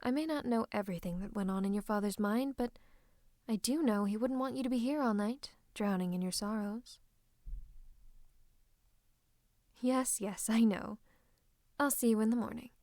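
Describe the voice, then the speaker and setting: Woman acting as villainous royal advisor, higher-pitched, silky, patronising, falsely comforting, breathy asides, close dry mic, fantasy ASMR roleplay. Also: a little fast, darkish tone, no echo, natural voice. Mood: soothing, suspicious. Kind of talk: roleplay ASMR monologue to the listener. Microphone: close microphone.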